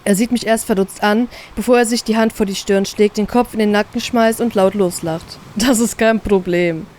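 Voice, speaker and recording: A woman reading a first-person story aloud as an expressive narrator. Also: mid-range voice, fairly quick, a little hiss or hum.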